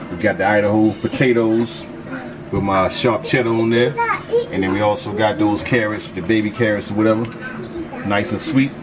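A man in his thirties talks his viewers through a home recipe in an easygoing, slangy way. His voice sits a bit low. A heavy hum of air conditioning runs under everything in a small kitchen. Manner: laid-back, slangy, talks to viewers as "y'all". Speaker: vlog host cooking. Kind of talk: casual cooking vlog chat. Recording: loud hum behind voice.

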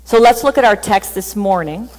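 Middle-aged woman pastor, sermon, casual and animated, mid pitch, long church hall reverb. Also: normal speed. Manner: chatty, animated, laughs at herself. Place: church stage with band gear.

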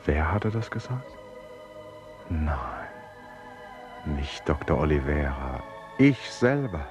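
An actor playing a brooding, resolute man confined in an asylum in a German radio play, speaking in a deliberate, introspective way. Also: extremely low pitch, very animated, over music, full character voice. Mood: resigned. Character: brooding, resolute male traveller confined in an asylum, torn by guilt. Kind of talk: 1950s-70s German radio play, monologue and dialogue.

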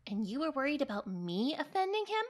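A woman's voice: high-pitched, very animated, brisk. A woman playing an eager, cheeky young female from heaven in an audio drama, perky and coaxing. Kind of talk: audio roleplay drama acting.

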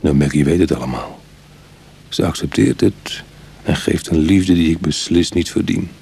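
A man playing a roguish, world-weary reformed burglar in a radio drama, speaking in a musing, colloquial way with a husky, gravelly voice. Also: a bass voice, moderate pace, a little mellow, exaggerated voice. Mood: wistful, confiding. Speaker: actor in a radio play. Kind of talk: Dutch radio drama acting, dialogue and confessional narration.

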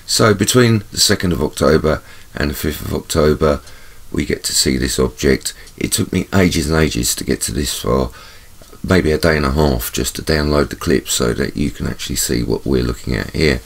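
Deep gravelly congested man. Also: moderate pace. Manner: chatty and conspiratorial, repeats words for emphasis. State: congested, bunged up. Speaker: channel host. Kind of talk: casual video commentary over camera footage.

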